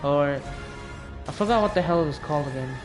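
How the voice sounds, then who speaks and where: A teenage male hosts a gameplay video in a casual, self-directed mutter. He comments loosely and swears mildly. Game music is clearly audible behind his voice. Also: fairly high pitch, a little fast. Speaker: gaming video host.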